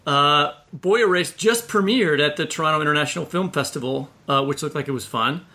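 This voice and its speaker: A man's voice: a little high, brisk, bright, forceful tone. A man co-hosting a podcast, introducing topics and putting questions to a guest in a conversational way. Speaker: podcast co-host.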